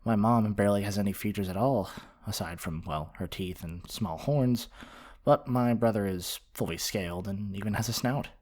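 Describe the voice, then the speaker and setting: A half-dragon male librarian, played by a man in an ASMR roleplay, speaks in a fairly low voice. He is flirty and a little possessive, yet earnest and affectionate. His address is close and deliberate, full of tender pet names. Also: a little mellow, close to an everyday voice. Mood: friendly, explanatory. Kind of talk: ASMR romantic roleplay monologue.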